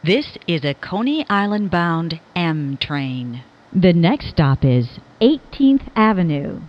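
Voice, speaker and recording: A woman reads scripted subway announcements as a recorded train announcer, in a measured, formal, clearly enunciated manner. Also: on the low side, sing-song, muffled recording.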